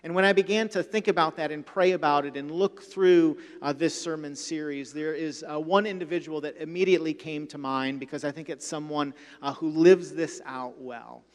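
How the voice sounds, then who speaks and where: A male pastor introducing a guest speaker in a measured way, pausing on "uh". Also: a little high, steady tone. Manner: measured, with "uh" fillers. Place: church sanctuary. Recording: long hall reverb.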